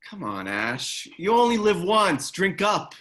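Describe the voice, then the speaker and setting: A man's voice: high-pitched, big pitch swings, measured pace, fairly bright tone, exaggerated voice. A man reading the part of a wry, easygoing ex-soldier in a screenplay table read, with a casual, laconic delivery. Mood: wry, teasing. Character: wry, easygoing ex-military man, protective ally. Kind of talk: screenplay table read, naturalistic dramatic acting.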